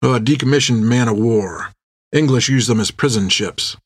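A man's voice: mid-range voice, moderate pace, no echo, studio quality, close to an everyday voice. Man playing a grim, defiant young sailor.

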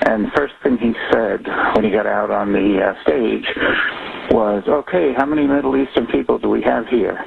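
Older man, gravelly, halting caller on a phone recording. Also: mid-range voice, slight background noise. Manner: earnest, halting complaint with fillers. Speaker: caller to a sheriff's office line. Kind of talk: recorded phone call played on air. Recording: tinny phone line recording.

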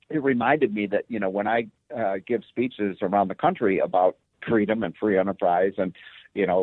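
A man, an economist guest, talking in a chatty, animated way during a radio interview. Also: on the low side, no room sound. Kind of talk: phone-in interview. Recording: tinny phone line.

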